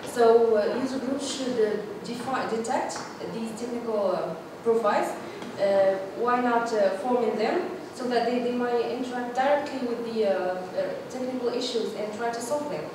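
A woman's voice: on the high side, normal speed, hardly any pauses, noisy background. A woman at a workshop, reporting her group's ideas with many fillers and restarts, in a large conference room. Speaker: workshop participant. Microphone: far room mic. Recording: echoey hall, far from the mic.

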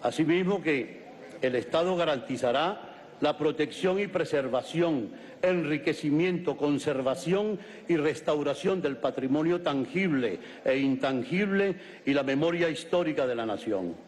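An older Venezuelan man stands at the podium microphones and reads a formal resolution in a measured, declamatory cadence. His voice sits a little high, and the long reverb of a big chamber carries behind it. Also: lively intonation. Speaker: legislator. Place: large legislative chamber, at a podium. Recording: long reverb of a large hall.

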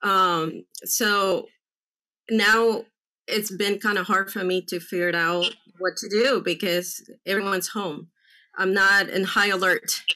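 Earnest woman from El Salvador, bright voice. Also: medium pitch, fairly even intonation, rough recording. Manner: earnest, halting, many restarts. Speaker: panel guest, military spouse. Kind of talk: candid panel talk. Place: room at home, indoors. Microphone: video call.

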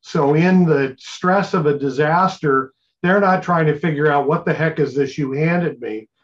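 Older man, higher-pitched, dull call audio. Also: measured pace. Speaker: radio operator and co-presenter. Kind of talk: casual explanatory talk.

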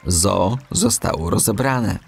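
A man narrating a children's audiobook in an expressive storytelling style. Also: deep voice, slow pace, creaky, slightly rough, soft background music.

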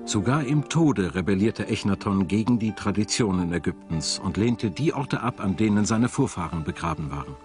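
Male documentary narrator, lower register, varied melody in delivery, clear music bed. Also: expressive, moderate pace, hardly any pauses. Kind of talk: scripted documentary narration and voice-over.

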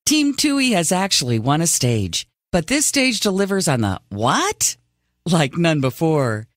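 Lively, theatrical game show host. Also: male voice, on the high side, measured pace, no echo, clean studio sound. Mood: showy, wry. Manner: dramatic, tongue-in-cheek pompous delivery. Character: upbeat, theatrical reality competition show host. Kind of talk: scripted TV announcer narration.

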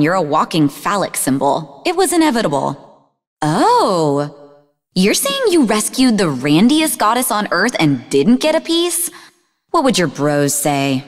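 A sarcastic young woman psychic from a video game. Her pitch swings in a lively way as she teases and needles with a sharp wit. The voice sits in a long reverb. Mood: teasing. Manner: sarcastic, teasing.

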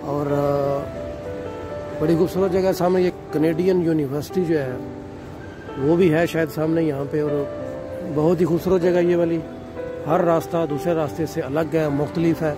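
A man's voice: on the high side, steady tone, a little slow, music in the background. A middle-aged man narrating a walking tour for his viewers, filming on a handheld phone outdoors at night. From Pakistan. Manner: addresses viewers as "nazreen", leisurely describing sights while walking. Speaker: travel vlogger. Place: city streets at night, outdoors. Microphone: handheld phone camera. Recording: outdoor traffic noise.

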